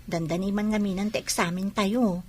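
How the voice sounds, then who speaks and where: An actress with an Ilocano Filipino accent playing a concerned, questioning young student friend in a radio drama. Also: female voice, medium pitch, fairly even intonation, normal speed, breathy edge, natural voice.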